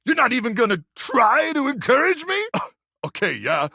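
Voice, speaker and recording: A man voicing a macho, melodramatic microwave who acts like a gung-ho action hero in a video game, with a gravelly, husky voice and bombastic, theatrical delivery. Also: extremely high pitch, wildly swooping pitch, normal speed, no echo, clean studio sound, exaggerated voice. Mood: wounded, whiny. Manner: bombastic, theatrical swings from brooding to shouting. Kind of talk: video game character acting.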